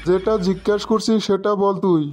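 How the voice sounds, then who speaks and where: A man's voice: high-pitched, flat delivery, a little fast, darkish tone, a little hiss or hum, natural voice. A man playing a boastful, short-tempered old schoolteacher in a comic cartoon, scolding and pompous. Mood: irritated.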